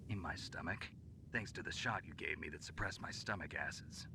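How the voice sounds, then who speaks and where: A hard-boiled video game secret soldier, with a very deep voice. He speaks dryly and laconically, with cool sardonic wit, over a clearly noisy, rumbling recording. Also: moderate pace. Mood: wry. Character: cool, wry, hard-boiled male special forces agent. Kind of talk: scripted video game voice acting.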